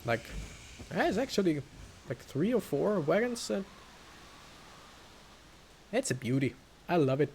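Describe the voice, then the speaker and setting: A man's voice: fairly high pitch, very animated, a little slow, pauses often, a little mellow, slight background noise. A man giving casual, rambling commentary as he plays through a video game, musing aloud. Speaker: video game let's player. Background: faint game music.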